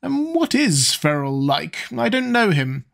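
A man's voice: sits high, very animated, unhurried, creaky, a bit bright, pitched above the performer's own voice, exaggerated voice. A man voicing an elderly aristocratic hostess who exclaims and scolds. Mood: incredulous. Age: older.

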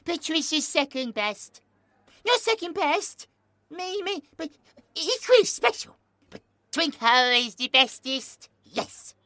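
A childlike possessed demon, high and bouncy. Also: male voice, big pitch swings, takes it slowly, sharp tone, dry recording, studio quality, exaggerated voice. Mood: sweet. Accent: British. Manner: talks of himself in third person, babyish words. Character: childlike possessed male demon friend, clingy and excitable.

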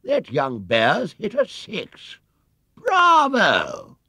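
A man voicing a thrilled cricket spectator with drawn-out, exclamatory cheers in a storybook reading. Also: sits high, huge pitch swings, bright, forceful tone, husky, no echo, clean studio sound, voice pushed up, exaggerated voice. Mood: amazed.

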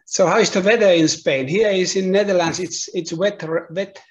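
A man's voice: high voice, fairly even intonation, moderate pace. A middle-aged man, hosting an interview over a video call and phrasing his questions carefully and hesitantly. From Finland. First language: Finnish. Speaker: interviewer and channel host.

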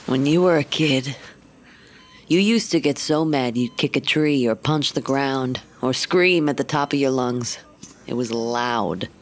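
An actress playing a gruff, protective female wolf-creature who raised a human girl, talking bluntly with gentle teasing, in an audio drama. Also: very deep voice, unhurried. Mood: fond.

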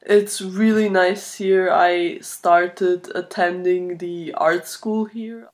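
A woman in her twenties talks casually into a laptop microphone at home. Her voice sits a little low for a woman. She speaks very slowly, halting often as she thinks through what to say. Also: fairly even intonation. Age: 20s. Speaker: video blogger, art student. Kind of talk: casual chat to camera. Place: small furnished room indoors. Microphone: laptop built-in microphone at arm's length.

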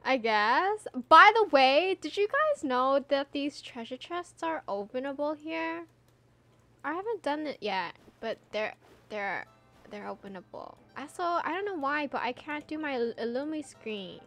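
A woman's voice: sits high, expressive, a little slow, creaky, a bit bright, faint music behind. A young woman streaming a game, chatting casually and animatedly with her viewers, laughing often and trailing off into filler words. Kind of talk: casual gameplay chat with chat readers.

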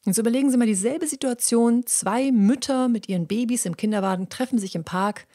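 A woman hosting a podcast, explaining how the voice works in a lively, chatty monologue with many fillers and repeats. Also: on the high side. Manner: lively, acts out little dialogues in quick voices; frequent fillers and repeated words. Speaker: podcast host and voice coach.

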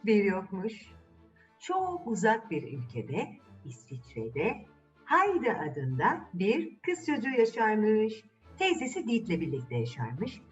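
A woman's voice: fairly high pitch, distant mic, lo-fi sound. A woman storyteller and host telling a children's fairy tale in a chatty way, putting questions to her young listeners.

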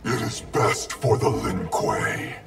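An actor voicing a menacing, arrogant undead shadow ninja warlord in a video game, gravelly, with cold, imperious, clipped declarations. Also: male voice, lowish voice, slow. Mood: cold disdain. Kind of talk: video game character dialogue. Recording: loud music under the voice. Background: loud game music.

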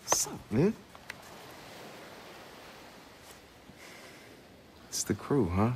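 A man's voice: medium pitch, huge pitch swings, normal speed, darkish tone, a little hiss or hum. A man playing a brash, fired-up young American hacker in a game, slangy, sweary and emphatic. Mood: casual, impressed. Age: young adult. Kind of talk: video game cutscene acting.

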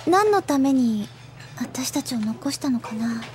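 An actress playing a sharp, polite teenage schoolgirl in an anime audio drama, matter-of-fact and a little tart. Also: sits high, animated, a little slow. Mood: puzzled, reasoning.

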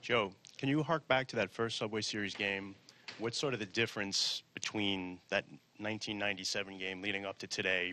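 A man's voice: on the low side, sharp tone. A man, a reporter, asking a long, wandering question at a press conference.

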